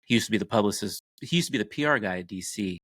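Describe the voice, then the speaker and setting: A man's voice: lowish voice, lively intonation, rapid-fire, fairly bright tone, dry recording. A man co-hosting a podcast from a home studio, talking in a casual, conversational way. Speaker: podcast co-host. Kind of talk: casual chat.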